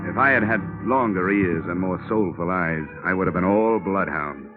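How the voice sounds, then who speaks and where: A wisecracking insurance investigator man, as an actor plays him in a 1950s radio drama. He narrates in a low, dry voice, and it comes through a tinny broadcast. Music sits under his lines. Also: moderate pace, close to an everyday voice. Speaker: narrator and lead.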